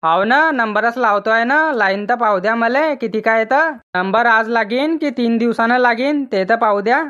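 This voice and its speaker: A man's voice: extremely high pitch, normal speed, bright, forceful tone, dull audio. A man voicing an argumentative, grumbling village husband in a comic cartoon, with a rural Maharashtrian accent.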